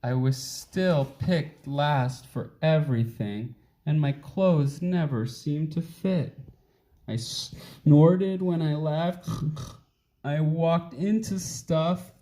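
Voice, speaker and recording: A man voicing a wistful, self-deprecating uncool young bean in an expressive children's storybook reading. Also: fairly high pitch, drawn-out words. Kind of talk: children's picture book read aloud.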